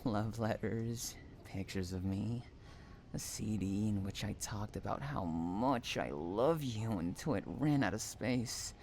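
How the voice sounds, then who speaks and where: A man's voice: lowish voice, takes it slowly, darkish tone, a little hiss or hum. A man acting an obsessive, unhinged stalker in an audio roleplay, cooing pet names and drawing out words between tenderness and anguish. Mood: wounded, obsessive. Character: obsessive, unhinged male stalker lover, sweetly possessive and menacing. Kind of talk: dramatic roleplay audio monologue.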